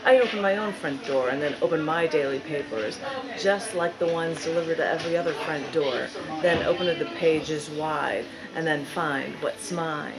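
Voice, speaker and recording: A woman with a fairly low voice reads her poetry to a live gathering. Her delivery is deliberate and dramatic, lingering on key words for emphasis. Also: rough recording. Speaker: poet reading own work. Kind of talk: live spoken-word poetry reading. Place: live reading in a large bookstore.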